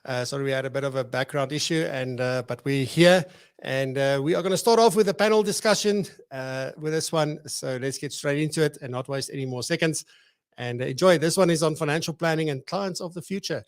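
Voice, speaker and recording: A man with a gravelly voice introduces the next session of an event. He hurries through the introduction and fills the gaps with frequent hesitations. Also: on the high side. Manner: hurried, filler-heavy. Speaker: event host.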